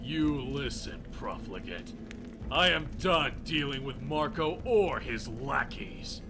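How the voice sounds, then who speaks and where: A man's voice: medium pitch, animated, takes it slowly, background noise. A man playing a haughty, corrupt Roman-style legion officer in a video game, speaking in a formal, imperious, theatrical way. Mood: indignant threatening. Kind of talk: video game character dialogue.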